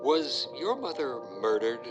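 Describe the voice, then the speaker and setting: Man playing probing reporter, skeptical, lively intonation, off-mic, loud music, dramatized interview. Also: mid-range voice, measured pace. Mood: probing, doubtful. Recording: distant voice under loud music.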